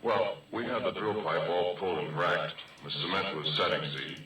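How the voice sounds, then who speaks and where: Deep, echoey old-radio folksy roughneck. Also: male voice, fairly even intonation, pushed and bright. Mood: matter-of-fact, reminiscing. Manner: colloquial, conversational, deliberate. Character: folksy retired oil-field roughneck, plain-spoken, brooding storyteller. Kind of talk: 1940s radio acting, first-person horror narration. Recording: echoey distant 1940s radio recording, music behind.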